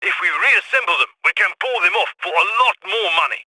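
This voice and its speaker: A bright, high-voiced heist planner barks brisk, wry orders to his crew. The sound is dry and close, with no room around it. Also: lively intonation, dry recording, exaggerated voice, rough recording. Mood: businesslike. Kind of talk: game voice lines.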